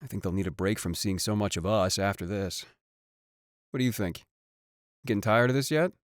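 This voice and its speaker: A man's voice: fairly low pitch, normal speed, dry recording, studio quality, lightly acted. A man playing a vain, playful idol singer in a scripted roleplay audio drama, teasing with casual swagger. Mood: flirty. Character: cocky, flirty male idol band singer, playful and vain. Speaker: voice actor.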